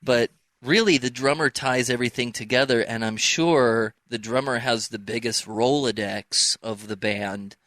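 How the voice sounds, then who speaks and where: A man's voice: mid-range voice, moderate pace, hardly any pauses, fairly bright tone, no room sound, studio quality. An American man hosting a casual interview, asking questions in an informal, chatty way. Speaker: interview host.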